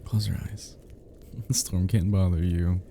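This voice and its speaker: A man playing an affectionate, flirty close friend in an intimate romantic roleplay, speaking in a soft, teasing, breathy murmur with hushed pauses. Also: sits low, steady tone, slight background noise. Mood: tender, soothing.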